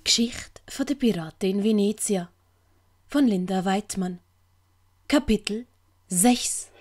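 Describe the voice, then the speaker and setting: A woman's voice: medium pitch, takes it slowly, faint music behind. A woman reading scripted story narration for an audio play.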